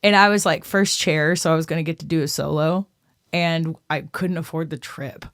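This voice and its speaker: A woman's voice: low voice, moderate pace, no room sound, clean studio sound. Chatty woman in her thirties from Texas. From Austin, Texas. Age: 30s. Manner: chatty, lively, laughs often, says "like" a lot. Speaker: guest musician. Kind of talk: casual podcast interview.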